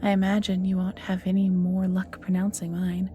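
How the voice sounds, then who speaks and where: A woman's voice: mid-range voice, completely flat delivery, moderate pace, warm tone, lightly acted. A woman playing an ancient banished evil magical being in an audio roleplay, flirty and world-weary, musing theatrically and often sinking to near-whispers, with music behind. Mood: curious, teasing. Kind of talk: scripted audio roleplay acting, addressing the listener.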